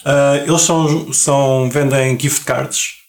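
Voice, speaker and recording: Podcast co-host, man, European Portuguese accent, easygoing and rambling, middle pitch. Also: slow. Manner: relaxed, chatty, restarts words often. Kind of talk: casual chat.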